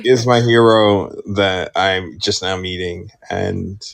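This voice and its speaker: Man, lowish voice, joking playful guest, casual talk. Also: fairly even intonation, slow, gravelly, no room sound. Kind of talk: casual video-call talk.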